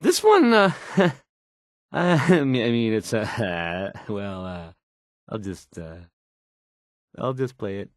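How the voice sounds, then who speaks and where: A man's voice: fairly low pitch, sing-song, slow pace, pauses often, no room sound, studio quality. An actor playing a nervous, awkward young male radio DJ in a video game, stammering and hesitant as he talks. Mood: awkward, flustered. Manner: stammering, hesitant, unsure of himself. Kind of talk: video game character dialogue.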